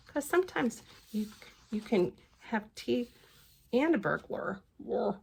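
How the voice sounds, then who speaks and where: A woman reading a picture book aloud to children, expressive and playful, with gentle little asides. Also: mid-range voice, gravelly, slightly rough. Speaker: library storytime reader.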